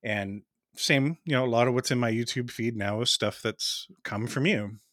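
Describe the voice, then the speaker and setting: Podcast host, man, smooth tone, bright and forward, talks in rambling asides with little laughs, dry close sound. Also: mid-range voice, moderate pace, no room sound. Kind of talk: casual chat.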